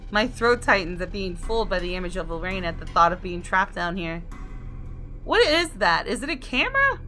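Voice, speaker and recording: A woman's voice: lowish voice, very animated, moderate pace, sharp tone. A woman reading visual novel text aloud and reacting casually and expressively as she plays. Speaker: gamer doing a visual novel let's play. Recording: music under the voice.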